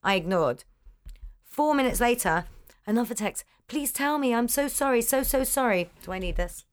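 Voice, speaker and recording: A woman's voice: a little high, normal speed. A woman with a slight lisp, telling a story in casual chat as podcast co-host into a studio mic with a pop shield. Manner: animated storytelling, quoting texts.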